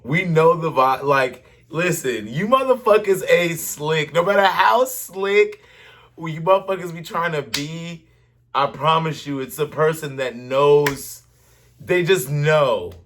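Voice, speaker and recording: A man's voice: a little high, takes it slowly, a bit bright. A man chatting casually and chiming in on a story, with a blunt, slangy way of talking. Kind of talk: casual chat, interjecting.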